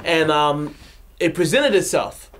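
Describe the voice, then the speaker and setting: A man's voice: on the high side, lively intonation, slow, fairly bright tone. A man from a sound system talking casually in an interview, reflective and hesitant, with many fillers.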